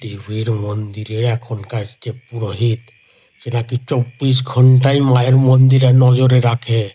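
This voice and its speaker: A man playing a superstitious village tea-stall keeper in a horror audio drama, giving slow, cautionary words with hushed concern. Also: mid-range voice, level tone, a little hiss or hum, natural voice. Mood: wary, cautioning.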